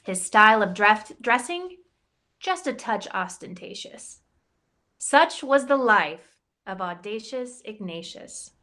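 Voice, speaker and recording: A woman who directs campus ministry reads a rhyming picture book aloud, playful and expressive, giving the quoted lines a voice of their own. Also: mid-range voice, slow. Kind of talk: storybook read-aloud in rhyme.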